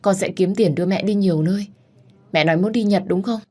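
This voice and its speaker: A woman's voice: deep voice, brisk, darkish tone, slightly rough. A woman voicing a grieving, pregnant young daughter in a pleading, tearful delivery, in a dramatic audio story. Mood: desperate pleading.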